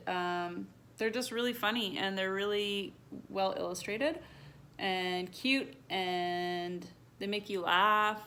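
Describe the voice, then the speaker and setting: Female storyteller, mid-pitched, conversational children's read-aloud with chatty asides; homemade recording with some background noise. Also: fairly bright tone. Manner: conversational, asks the listener direct questions. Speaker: storyteller reading a picture book to children.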